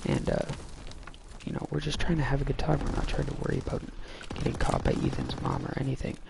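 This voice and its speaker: A young adult man telling a personal story casually, in a rambling, halting way. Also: mid-range voice, sing-song, vocal fry, background noise.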